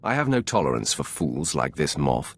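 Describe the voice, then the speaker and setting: An actor playing a commanding Sith lord in a video game, gravelly, with a curt, dry, authoritative manner. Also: male voice, a bass voice, sing-song, normal speed, heavily acted. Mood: dry disdain. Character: commanding male Sith lord, imperious superior officer. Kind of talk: scripted video game dialogue.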